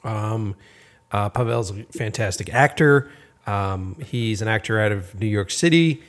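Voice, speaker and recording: Laid-back, slightly low-voiced male host. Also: a little slow. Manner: laid-back, swears casually, filler-heavy. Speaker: podcast host and film director. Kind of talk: casual interview chat.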